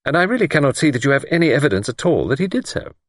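A man's voice: fairly high pitch, big pitch swings, racing, no echo, voice pushed up. A man voicing a middle-aged country doctor who is dry, skeptical and condescending.

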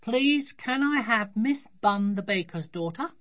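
A woman's voice: medium pitch, expressive, unhurried, fairly bright tone, pitched above the performer's own voice. A woman voicing a bossy, triumphant little girl in a children's storybook, with emphatic, insistent delivery.

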